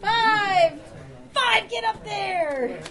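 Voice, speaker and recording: A woman's voice: extremely high pitch, animated, drawn-out words. A woman chatting casually and calling out numbers at a club luncheon. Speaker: helper calling out prize numbers at a club gathering. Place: busy restaurant at a club luncheon. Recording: loud restaurant crowd, large room.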